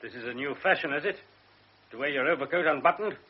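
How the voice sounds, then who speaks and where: An actor playing a blustering army colonel in an old radio drama, barking orders. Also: male voice, fairly high pitch, lively intonation, fast talker, background noise, natural voice. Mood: stern. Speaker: commanding officer. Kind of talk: 1960s radio drama acting. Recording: dull lo-fi radio broadcast.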